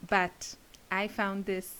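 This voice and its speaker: A woman's voice: medium pitch, flat delivery, takes it slowly, pushed and bright, clear, resonant tone. A woman crafter chatting casually to her viewers in a rambling, giggly way. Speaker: craft video creator and class teacher. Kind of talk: casual rambling chit-chat to camera.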